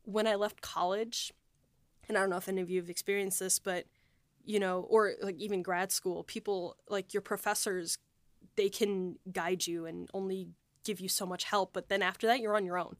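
A woman's voice: medium pitch, fairly even intonation, normal speed, no room sound. A woman writer and producer in a casual behind-the-scenes discussion, talking in a reflective, rambling way.

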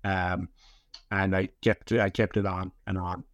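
A man's voice: deep voice, flat delivery, unhurried, dry recording, highs cut off. A man in his thirties with a Cork Irish accent, talking casually as a guest, often repeating words and restarting. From Ireland (West Cork). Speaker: historian, guest author. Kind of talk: casual interview chat.

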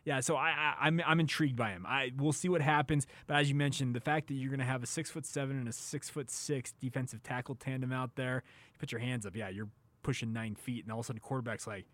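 A man's voice: mid-range voice, fairly even intonation, fast talker, a bit bright, no room sound. A man with an American accent hosting a sports show with conversational commentary.

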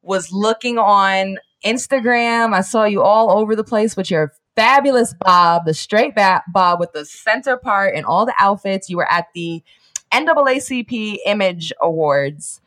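A woman's voice: mid-range voice, animated, moderate pace, a bit bright. A woman hosting a podcast, upbeat and chatty, asking her co-host questions in casual talk.

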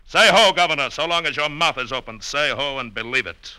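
An actor playing a swaggering, menacing pirate captain with archaic seafaring speech in a 1950s radio drama. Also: male voice, on the high side, expressive, a little fast, sharp tone. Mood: menacing, boastful. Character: swaggering male pirate captain, menacing, indulgent to his wife. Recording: hissy old radio recording.

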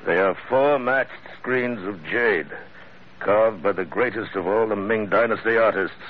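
Deep-voiced, suave, menacing radio villain. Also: male voice. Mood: reverent. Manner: courtly, unhurried, ornate formal speech. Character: suave, menacing middle-aged villain, politely threatening torturer. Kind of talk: old-time radio drama acting. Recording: muffled, phone-like old radio recording.

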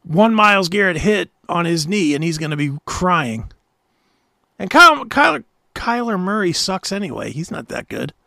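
A man's voice: high voice, sing-song, moderate pace, creaky, pushed and bright, dry recording, clean studio sound. A middle-aged man co-hosting a talk show, bantering casually about sports in a blunt, argumentative, opinionated way.

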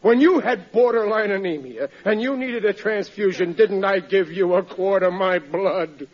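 A man's voice: sits high, normal speed, natural voice. An actor playing a blustering, boastful husband and father in a 1940s radio sitcom. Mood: indignant bluster. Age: middle-aged. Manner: blustering, indignant, ungrammatical. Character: blustering, boastful, good-natured working-class husband and father. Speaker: comedy lead actor. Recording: muffled vintage radio recording.

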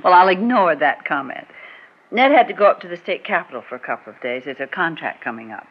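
Genteel, guarded married woman, breathy voice with big melodic swings, muffled vintage radio drama. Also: fairly low pitch, lively intonation, fast talker. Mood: gracious, bantering. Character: proud, guarded married woman resisting an old flame. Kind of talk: classic radio drama acting. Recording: muffled old radio recording.

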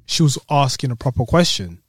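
A man's voice: mid-range voice, big pitch swings, a little fast, slightly rough, dry recording, studio quality. A man in a casual podcast chat, acting out quoted remarks as he talks. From England. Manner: conversational, acts out quoted speech. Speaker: podcast co-host.

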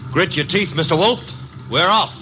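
A man's voice: high voice, lively intonation, normal speed. An actor playing a breezy, girl-crazy detective's assistant in an old-time radio drama, wry, cocky and teasing. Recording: noisy old radio recording.

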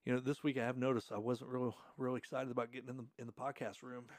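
A man's voice: mid-range voice, flat delivery, fast talker, no echo. A middle-aged man hosting a podcast in a friendly, easygoing way. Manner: friendly, easygoing, laughs often. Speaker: podcast host. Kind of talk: casual podcast chat. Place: podcast room.

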